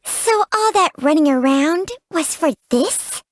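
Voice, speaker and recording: A woman's voice: very high-pitched, lively intonation, slow, no room sound, full character voice. A woman voicing a small, imperious talking snake companion in a video game, blunt, haughty and impatient. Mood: peevish. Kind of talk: video game character voice lines.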